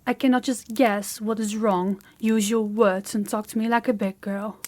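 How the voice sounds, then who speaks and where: A woman's voice: mid-range voice, steady tone, moderate pace, dark tone, lightly acted. A woman playing a commanding, haughty young princess in a scripted fantasy audio roleplay, speaking in an imperious, condescending way. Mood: stern, exasperated.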